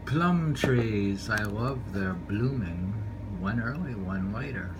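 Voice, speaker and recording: A man reading poetry informally, unhurried and rambling, repeating lines and adding offhand commentary. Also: fairly low pitch, expressive. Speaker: poetry reader. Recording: noisy room ambience, amateur home recording.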